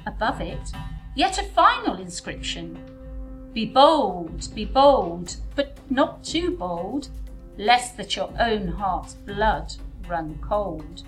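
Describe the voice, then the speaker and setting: A woman playing a bold young gentlewoman in a folk tale, speaking in formal, theatrical, old-fashioned diction. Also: medium pitch, big pitch swings, slow, soft background music, rough recording. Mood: pointed, suspenseful. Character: bold young gentlewoman bride recounting a sinister dream.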